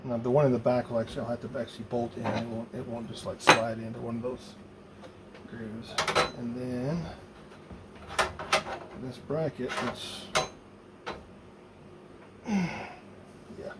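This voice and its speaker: A man talking casually and haltingly, thinking aloud as he works through a hands-on project. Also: medium pitch, fairly even intonation. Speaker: hobbyist maker demonstrating a DIY project. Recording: loud background noise.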